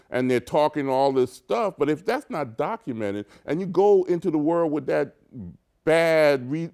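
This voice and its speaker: A man with a smooth, resonant voice that sits a little high. He talks earnestly on a community talk show and often stops and restarts mid-thought as he hunts for the right word. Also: a little mellow. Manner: earnest, searching for words, many restarts. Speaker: co-host. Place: local TV studio.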